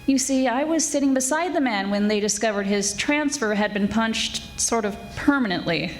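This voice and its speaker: A woman's voice: fairly high pitch, normal speed, slight background noise, lightly acted. A woman playing a wry, breezy female private eye who narrates in 1940s radio detective style. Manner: wry, breezy, flirtatious deadpan. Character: wisecracking glamorous female private eye, former model. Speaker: radio theatre actor. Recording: live stage recording with music.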